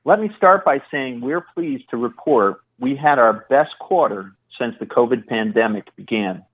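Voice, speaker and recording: A man speaking as a chief executive, reading prepared corporate remarks in a formal, measured way over a phone. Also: mid-range voice, expressive. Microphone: phone call. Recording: thin, narrow phone line.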